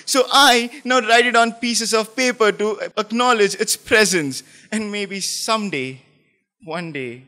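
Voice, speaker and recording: High, bright-voiced man performing spoken word. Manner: intense, swinging from hushed lines to forceful outbursts. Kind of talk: dramatic spoken word poetry performance, in the form of a letter.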